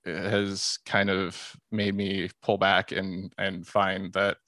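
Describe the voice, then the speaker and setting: A man, an assistant winemaker, talking casually and haltingly in a group podcast chat, often repeating his words. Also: low-pitched, completely flat delivery, bright, forceful tone, dry recording.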